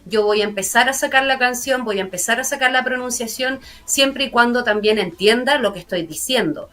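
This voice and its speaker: A woman's voice: fairly high pitch, a little fast, a bit bright. A woman English teacher with a Chilean accent, explaining language learning in an earnest, step-by-step way during a studio interview. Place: broadcast studio.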